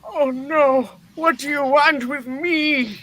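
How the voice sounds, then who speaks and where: Old miser for radio play, male reader: grumpy, spooked, blustering, very slow and drawn-out. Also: very high-pitched, fairly even intonation, sharp tone, full character voice. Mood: frightened. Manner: stammering, blustering, exclaiming. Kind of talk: live play reading, hammy radio-play acting.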